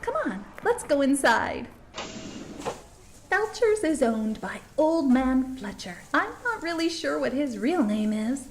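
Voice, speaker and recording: A woman's voice: high voice, big pitch swings, slow, heavily acted. A woman playing a folksy small-town documentary host, gushing politely in tour-guide patter, as in a comedy mockumentary. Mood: gushing, informative. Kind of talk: scripted mockumentary narration and interviews.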